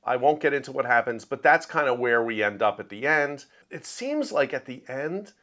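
A man's voice: mid-range voice, lively intonation, normal speed, sharp tone. A man hosting a review show, talking in a casual, opinionated monologue and getting emphatic when he makes a point. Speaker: host of a book review show.

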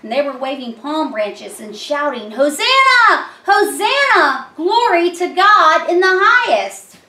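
A woman's voice: high-pitched, very animated, measured pace, bright, forceful tone. A middle-aged woman hosting a children's puppet show, telling Bible stories in a simple, explanatory way. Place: small decorated room indoors. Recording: echoey room.

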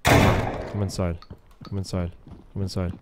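A man's voice: low voice, level tone, measured pace, very noisy. A man doing casual, sweary gameplay commentary, muttering to himself as he plays. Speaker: gamer, video host.

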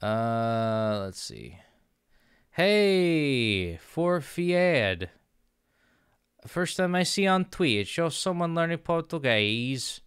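Young man, streamer, slow easygoing talk, smooth clear voice, playful boasting, halting learner Portuguese, close mic, dry room. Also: a little high, very animated, fairly bright tone, dry recording. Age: young adult. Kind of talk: casual live-stream chat, reading chat aloud. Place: small home room. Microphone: studio mic on arm with headphones.

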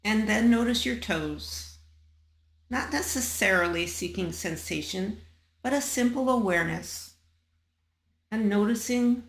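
Slow, creaky-voiced woman guiding meditation. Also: medium pitch, echoey room. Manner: calm, measured, with long pauses between short phrases. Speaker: meditation guide. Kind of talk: guided body scan meditation.

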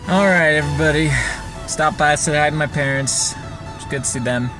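A man's voice: a little high, a little fast, music in the background, low-quality recording. A young man hosting a casual road-trip vlog, talking easygoing and straight to the camera from his car. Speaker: vlogger host. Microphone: camera mounted in car, facing him.

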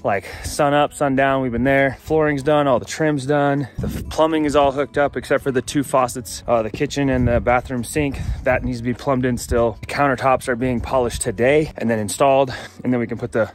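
An American man in his thirties hosts a casual vlog. His voice sits at an average pitch and his talk is informal and folksy. He records outdoors, holding the camera at arm's length. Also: fairly even intonation, a little fast, few pauses, faint music behind. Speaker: vlog host. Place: outdoors on an open rural building site.

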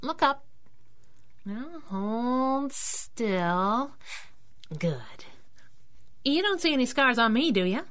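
An actress playing a brash, wisecracking masked sidekick in a 1930s-style radio drama, sassy and slangy. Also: female voice, fairly high pitch, very animated, extremely slow, long pauses, a bit bright, exaggerated voice. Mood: brisk teasing.

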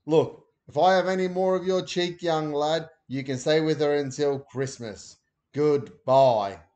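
Man playing a gruff shouting dad, short-tempered, storybook reading. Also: a little high, wildly swooping pitch, unhurried. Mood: stern. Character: loud, stern father, short-tempered.

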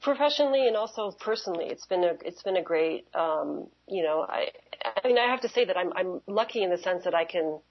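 A woman's voice: lowish voice, animated, a little fast, slightly rough, lo-fi sound. A woman in her forties from Canada and Kuwait, answering interview questions conversationally in a hesitant, reflective way, often rephrasing as she goes. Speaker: interview guest, museum professional.

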